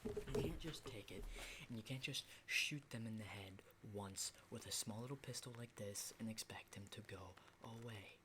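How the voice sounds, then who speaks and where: A man playing an unsettling, lonely, hardened apocalypse survivor in an ASMR roleplay, speaking in a halting, breathy whisper and soft voice. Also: on the low side, noisy background, close to an everyday voice. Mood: intense, instructive. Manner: hushed, halting, repeats words, mild swearing.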